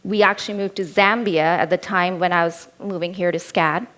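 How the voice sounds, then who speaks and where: A woman's voice: lowish voice, steady tone, a little fast, fairly bright tone. A woman in her thirties with an Indian accent, giving a keynote talk as a personal story told in a candid, lightly humorous way. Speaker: keynote speaker.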